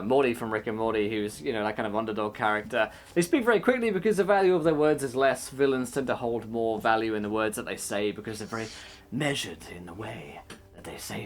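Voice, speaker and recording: A man, the game master, chatting casually and giving roleplay tips, with a relaxed, rambling delivery. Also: medium pitch. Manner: relaxed, rambling explanations with many fillers.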